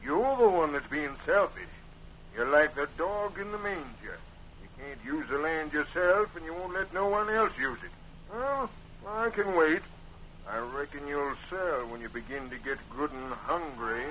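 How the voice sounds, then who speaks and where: Greedy Western schemer, sharp and forceful. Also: male voice, fairly high pitch, expressive, unhurried. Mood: gloating. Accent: American. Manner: rustic Western drawl wording, smug and unyielding. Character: greedy, scheming male neighbor in the Old West. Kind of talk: 1930s radio acting. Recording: noisy 1930s radio recording.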